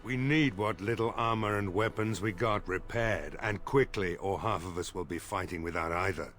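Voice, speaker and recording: An actor playing a weary, worried village mayor in a fantasy game, with game music behind him. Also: male voice, deep voice, moderate pace, fairly bright tone. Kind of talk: video game voice acting.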